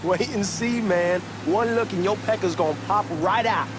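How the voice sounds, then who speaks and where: An actor playing a cocky, talkative young hustler with an American Southern accent in a video game, speaking in loose slang. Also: male voice, sits high, moderate pace, close to an everyday voice. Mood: joking, teasing. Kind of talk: acted game dialogue, casual banter. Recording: car engine and street noise behind. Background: moving car ambience.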